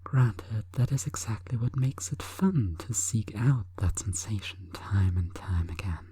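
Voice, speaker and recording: A woman hypnotist delivering an intimate sleep hypnosis monologue in a breathy, coaxing, deliberate whisper. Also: extremely low pitch, animated, mellow, no echo.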